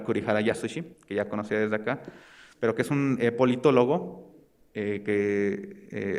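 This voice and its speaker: A young man with a Mexican accent giving an academic research presentation, speaking hesitantly and often searching for words. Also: fairly low pitch. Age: young adult. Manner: hesitant, halting delivery with searching pauses. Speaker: graduate student presenting research.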